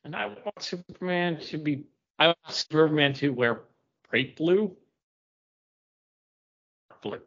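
A man's voice: a little high, animated, slow, gravelly, long pauses, a bit bright, slightly rough, lo-fi sound. A man co-hosting a podcast with casual, opinionated talk about comics. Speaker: podcast co-host. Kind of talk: casual comic book chat.

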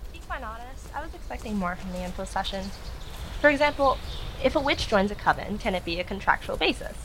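An exasperated young female witch voiced by an actress, chatty and bossy, speaking at a middling pitch. It is natural audio drama dialogue with some noise behind the voice. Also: lively intonation, fast talker, lightly acted. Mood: exasperated rambling.

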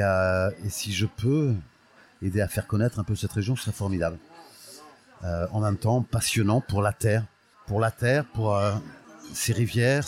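A man's voice: on the low side, a little mellow. A man in his sixties from Portugal, telling his story in an interview, thoughtful and often hesitating as he searches for words. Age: 60s. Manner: fond, proud of his home region. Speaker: guest, olive oil producer. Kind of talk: podcast interview, personal storytelling.

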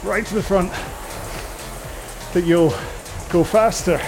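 A man with a high-pitched voice leads a rowing workout over music. He talks casually and conversationally, rambling and joking as he coaches, and takes his time with a slow, broken-up delivery. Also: rough recording.

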